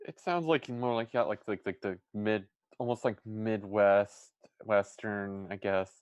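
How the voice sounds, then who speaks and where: A man's voice: on the low side, measured pace, clean tone, no echo, lo-fi sound. Man with a Central Eastern Pennsylvania accent who rambles with lots of "like"; dry, close recording. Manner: rambling, heavy use of "like". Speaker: panelist. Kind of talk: casual panel chat.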